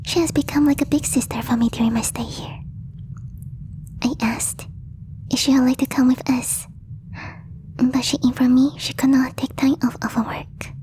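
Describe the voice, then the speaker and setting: A woman voicing a possessive, lovestruck alien girl in a breathy whisper, with slow, stiffly formal phrasing for an ASMR roleplay. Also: high-pitched, flat delivery, dark tone, close to an everyday voice. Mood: affectionate, coy. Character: possessive lovestruck alien girl, stilted speech, shyly obsessive.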